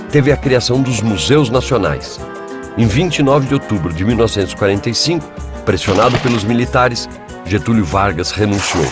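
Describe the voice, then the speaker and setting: Brazilian man narrating over prominent music. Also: lowish voice. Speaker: documentary narrator. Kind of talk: scripted educational history narration.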